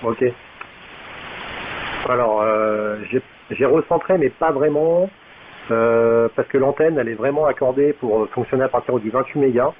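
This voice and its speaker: A man's voice: medium pitch, normal speed. A man explaining things in casual technical chat as an amateur radio operator over a two-way radio. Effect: two-way radio transmission. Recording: thin phone-like radio sound, faint hiss.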